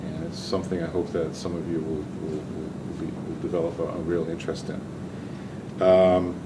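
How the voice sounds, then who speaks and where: A man's voice: low voice, level tone, moderate pace. An older man from Hungary, a physician and researcher giving a talk, speaking hesitantly with many ums and restarts. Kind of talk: lecture-style talk. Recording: distant mic with audible room noise.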